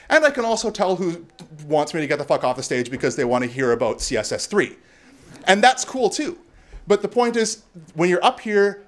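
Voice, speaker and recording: Loud, gregarious Canadian man on stage. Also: high voice. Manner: loud, gregarious, joking with crowd, profane. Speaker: conference speaker. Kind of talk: live talk on presenting. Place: bar venue before live audience.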